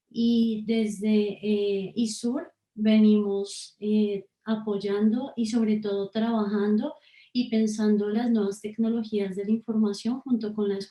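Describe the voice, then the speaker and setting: A woman's voice: mid-range voice, mellow, reverberant, poor audio. A woman researcher leading an online workshop, speaking hesitantly with many fillers over a video call. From Colombia.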